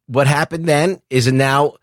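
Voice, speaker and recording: A middle-aged man co-hosting a casual film discussion, explaining things in a halting, profane way. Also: a little high, steady tone, no echo, studio quality. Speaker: podcast co-host.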